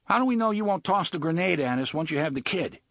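Un hombre que interpreta a un joven tenso y desesperado que grita con urgencia. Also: male voice, fairly high pitch, expressive, quick pace, a bit bright, no echo, clean studio sound, pitched above the performer's own voice. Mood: desperate. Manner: raised, urgent. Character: young man, tense and desperate.

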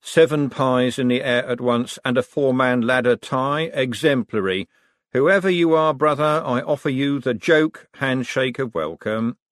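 A man's voice: medium pitch, a little slow, a bit bright, no echo, studio quality, voice pushed up, natural voice. A man voicing a solemn, formal master clown in an audiobook, ceremonious and courteous. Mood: solemnly honoured.

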